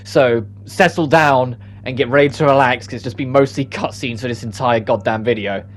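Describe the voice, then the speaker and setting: A man's voice: medium pitch, moderate pace, slight background noise. A man hosting a let's play, introducing it in a casual style.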